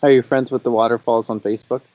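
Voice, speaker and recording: A man's voice: medium pitch, flat delivery, fast, darkish tone, no room sound, rough recording. A twenty-year-old man chatting casually as a podcast host, with a joking, teasing way of asking questions. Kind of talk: casual chat.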